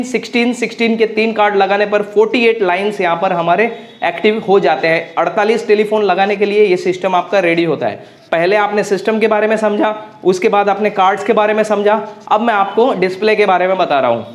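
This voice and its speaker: A man explaining as a technical instructor, repeating key phrases for clarity and mixing in English technical terms. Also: sits high. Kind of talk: practical tutorial explanation.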